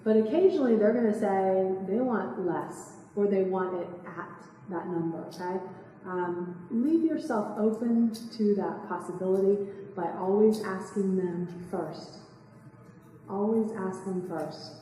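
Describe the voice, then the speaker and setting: A woman, giving a quick, chatty seminar talk and asking the room questions. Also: mid-range voice, heavy reverb, long reverb tail, distant mic, rough recording. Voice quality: soft voice. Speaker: real estate investing speaker. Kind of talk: live seminar talk to a small audience. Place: meeting room, indoors. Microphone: far camera.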